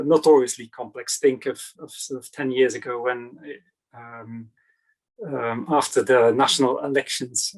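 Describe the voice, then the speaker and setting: Halting Belgian scholar lecturing, man. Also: medium pitch, low-quality recording. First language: Dutch. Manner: halting, many fillers and restarts. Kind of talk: academic video-call lecture. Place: home in England, on a video call. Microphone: video call.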